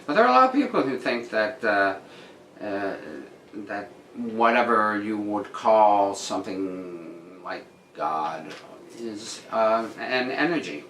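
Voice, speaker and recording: A man reading articles aloud and commenting on them casually, with dry, deadpan asides. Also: on the low side, slow pace. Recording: roomy echo with noticeable background noise.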